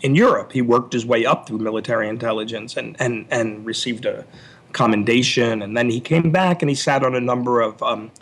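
Husky male scholar explaining in interview. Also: medium pitch, steady tone, a bit bright. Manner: analytical, halting with repeated word starts. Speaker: author and interview guest.

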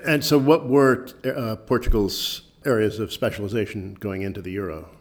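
A man hosting a radio show about economics and politics, introducing guests and asking measured questions. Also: on the low side, fairly even intonation.

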